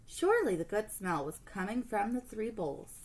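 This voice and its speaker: A woman teacher reading a storybook aloud to children in an expressive storytelling manner. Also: medium pitch, normal speed, a little hiss or hum.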